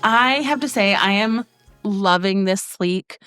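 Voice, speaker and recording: A young woman co-hosts a casual podcast, bubbly and teasing, chiming in quickly. Her voice sits close and dry, with faint music underneath. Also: medium pitch, fairly bright tone, smooth, dry recording. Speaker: podcast co-host, daughter.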